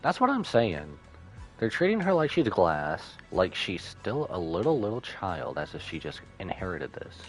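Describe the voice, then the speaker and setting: Casting: male game streamer, fairly low voice, lively swings, playful commentary, repeats himself for emphasis, light music behind. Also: normal speed, rough recording. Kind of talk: live stream commentary with dialogue read-aloud.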